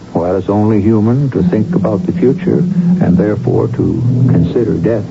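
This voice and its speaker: A man hosting a radio drama, giving scripted, reflective narration in a measured, formal, philosophical manner. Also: fairly low pitch, creaky. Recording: muffled old radio broadcast with music.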